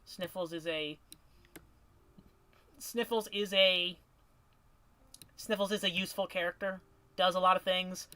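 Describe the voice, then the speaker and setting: A man with a high, bright voice chats on a stream, ranking cartoon characters. He wanders into tangents and restarts his sentences often. His voice sounds a little worn from a long session. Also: unhurried, long pauses, clean tone, no room sound. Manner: rambling, restarts, animated tangents. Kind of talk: casual chat on a stream.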